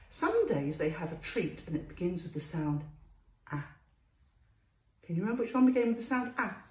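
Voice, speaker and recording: Animated woman telling stories to young children. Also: medium pitch, echoey room. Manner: sing-song, drawn-out emphasis, addressing small children. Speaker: children's storytime presenter. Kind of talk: storytelling to young children with questions and phonics.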